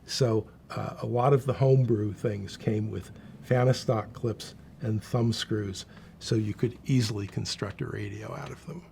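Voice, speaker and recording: A man's voice: on the low side, steady tone, unhurried, vocal fry, dark tone. Man demonstrating equipment, faint background noise. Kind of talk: unscripted hands-on explanation.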